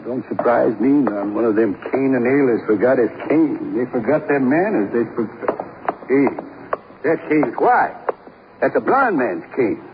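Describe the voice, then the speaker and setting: An actor playing a gruff, talkative grill owner with folksy, rambling talk in a 1950s radio drama. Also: male voice, medium pitch, animated, unhurried, natural voice. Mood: wry, folksy. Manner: rambling, folksy, colloquial drawling phrasing. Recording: muffled, noisy 1950s radio recording.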